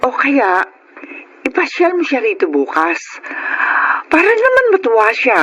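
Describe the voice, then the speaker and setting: A performer playing a caring, inquisitive mother of a grown daughter, animated and expressive, in a Tagalog radio drama. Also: high voice, unhurried, breathy edge, exaggerated voice. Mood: caring, surprised. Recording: faintly noisy radio drama audio.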